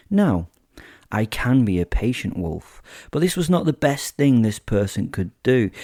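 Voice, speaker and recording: A man's voice: lowish voice, warm tone, dry recording, studio quality. A man voicing a sadistic werewolf killer, narrating a horror audio drama in a theatrical, savouring, wordy way. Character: sadistic gloating male werewolf killer telling his hunt.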